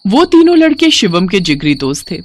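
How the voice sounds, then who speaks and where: A woman's voice: medium pitch, big pitch swings, normal speed, a little hiss or hum. A woman storyteller giving a dramatic scripted narration of a story.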